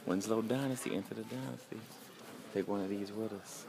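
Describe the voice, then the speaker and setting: Excited deep-voiced man vlogging on a phone. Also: a little fast, gravelly. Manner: excited, enthusiastic, exclamatory. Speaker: vlogger touring an instrument factory. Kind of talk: casual walk-and-talk vlog. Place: large indoor factory hall and showroom. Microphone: phone held at arm's length. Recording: phone recording amid workshop crowd noise.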